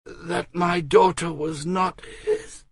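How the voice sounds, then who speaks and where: A man's voice: sits high, steady tone, slow pace, husky, dry recording, voice pushed up, exaggerated voice. A man reading aloud voices a frail, desperate young imprisoned queen, pleading in a raised, breathless voice.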